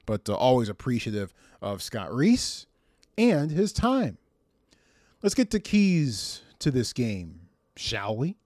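A man's voice: medium pitch, wildly swooping pitch, slow, darkish tone, no room sound, clean studio sound. A man hosting a sports talk podcast, chatting conversationally and repeating words for emphasis. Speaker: podcast host.

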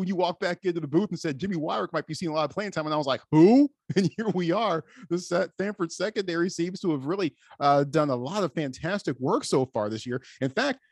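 Higher-voiced American sports show host, dry sound. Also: male voice, slightly rough, no echo. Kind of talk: conversational sports talk.

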